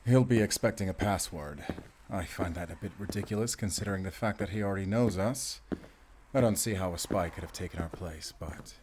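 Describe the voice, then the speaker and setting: A man playing a weary, protective werewolf hunter in an audio roleplay, earnest and soft-spoken. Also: low voice, brisk, noisy background, lightly acted. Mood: focused, businesslike. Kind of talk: intimate cinematic audio roleplay.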